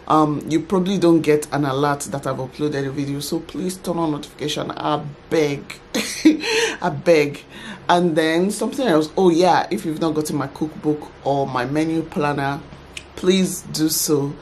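A woman in her thirties chatting to camera from her home office as a vlogger, soft-spoken and quick to laugh. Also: sits low, a little hiss or hum. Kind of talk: casual talk to camera. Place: home office by a computer desk.

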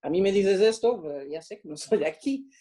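A woman from France with a French accent, giving reflective interview answers and often pausing to search for the right words. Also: medium pitch, animated, dark tone. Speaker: interviewed guest.

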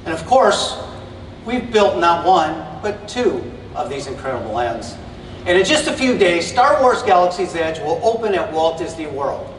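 A middle-aged man with a fairly high voice delivers an earnest, enthusiastic stage presentation. His voice booms through a big arena, echoing around the hall. It was recorded from far back in the audience, with a faint hum of the crowd behind. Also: sing-song, moderate pace. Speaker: presenter at an expo panel. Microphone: stage mic recorded from the audience.

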